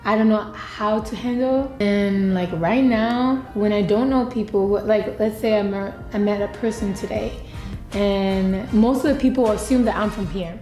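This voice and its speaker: A woman's voice: medium pitch, moderate pace, warm tone, echoey room, faint music behind. A young woman from Japan with a Japanese accent, answering interview questions frankly with lots of "like" fillers. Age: young adult. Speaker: student interviewee.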